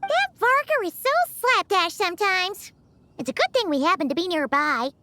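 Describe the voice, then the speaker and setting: A woman's voice: very high voice, big pitch swings, moderate pace, pushed and bright, a little hiss or hum, full character voice. A woman voicing a tiny floating female companion in a video game, brash and chirpy, referring to herself by name. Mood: cheeky. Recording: game audio with background music.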